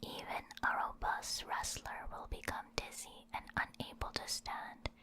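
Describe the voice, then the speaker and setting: Slow whispering woman. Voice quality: unvoiced, breathy whisper. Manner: slow, measured reading with spaced-out phrases. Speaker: ASMR host. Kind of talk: whispered ASMR reading of game encyclopedia entries.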